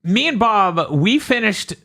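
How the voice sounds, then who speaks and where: A man co-hosting a casual gaming podcast, animated and swearing as he tells stories. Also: sits high, a little slow. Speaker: podcast co-host.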